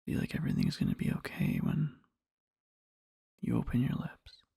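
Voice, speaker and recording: A man playing an adoring boyfriend in a soft ASMR roleplay, murmuring slowly and tenderly to a sleeping partner in a breathy, husky whisper. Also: no room sound, studio quality, lightly acted. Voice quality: breathy, husky, with creaky fry. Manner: slow, halting, tender murmuring.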